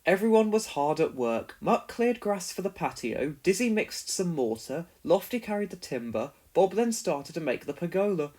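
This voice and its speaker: Read-along storyteller, mid-pitched, highly animated, expressive scripted delivery for young children. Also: moderate pace, hardly any pauses. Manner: expressive, clearly paced storytelling. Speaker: storybook narrator.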